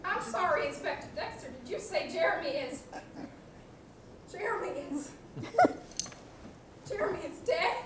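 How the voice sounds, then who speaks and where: A young woman on stage playing an excitable, easily shocked character in a comic farce. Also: very high-pitched, measured pace, pauses often. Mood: stunned politeness. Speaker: student actor in one-act comedies. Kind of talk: broad comic stage acting. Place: theatre stage, recorded from the audience. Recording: echoey noisy hall, distant microphone.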